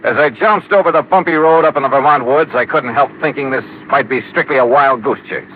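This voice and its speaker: A man's voice: medium pitch, brisk, slight background noise, lightly acted. An American actor playing a tough, wry insurance investigator who narrates his case in clipped, dry 1950s radio drama style. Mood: dry, matter-of-fact. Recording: tinny old radio recording with music.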